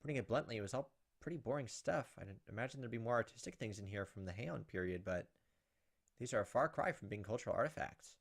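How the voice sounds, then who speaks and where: A man's voice: medium pitch, animated, quick pace, creaky. A man hosting a gaming video, reading a visual novel aloud as dramatic storytelling and breaking off for casual, off-the-cuff asides.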